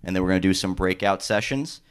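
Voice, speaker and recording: Male city planner, very low pitch, formal meeting welcome with filler-heavy delivery. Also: quick pace, smooth. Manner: steady, formal, with frequent "uh" and "um". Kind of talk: public meeting welcome remarks. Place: public meeting room.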